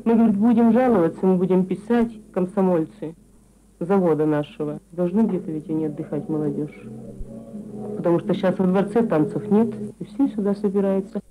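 A woman's voice: lowish voice, brisk, soft background music. A woman worker being interviewed, talking unscripted in a plain colloquial way and repeating herself. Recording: tinny narrow-band field tape.

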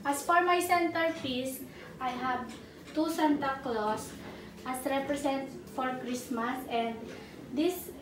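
Young woman, Filipino accent, high pitch, student presenter, rehearsed delivery, echoey room. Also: takes it slowly. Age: young adult. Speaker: student caterer. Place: indoors in a home room. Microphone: camera a few steps away. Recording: roomy, distant mic, faint background noise.

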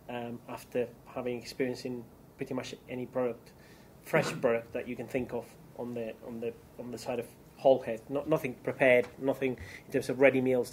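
A man's voice: mid-range voice, a little hiss or hum, poor audio. A man from Chile with a Chilean accent, giving a keynote business presentation with frequent ums and restarts. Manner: hesitant, many ums and restarts. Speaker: keynote speaker.

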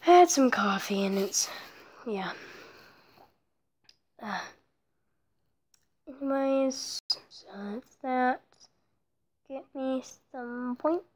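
A woman giving casual commentary over her own mobile block-building gameplay, rambling and often dropping into soft whispered asides, recorded on her phone. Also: high-pitched, animated, very slow, long pauses. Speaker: let's play creator.

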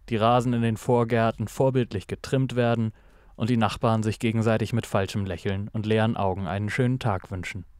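A male narrator tells a noir detective story in a mid-range voice. His delivery is measured and dramatic, recorded close and dry with no room sound. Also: medium pitch, darkish tone, clean tone, no echo.

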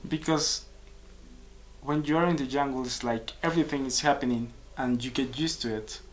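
Peruvian guy in his 20s, composer, keeps restarting sentences, small office, bit of hiss. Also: medium pitch. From Peru. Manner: searching for words, halting restarts. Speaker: composer and musician. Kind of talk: casual interview answers. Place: small office with pictures on walls.

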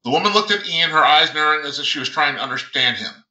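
A man's voice: fairly high pitch, lively intonation, fast talker, bright, forceful tone, muffled recording. A man, an author reading his own science fiction novel aloud as dramatic narration, stumbling over a word now and then. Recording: roomy, echoing sound.

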